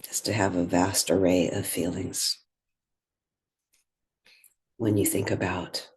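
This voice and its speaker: A woman leading a guided meditation in gentle, unhurried phrases with pauses. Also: extremely low pitch, level tone, breathy edge.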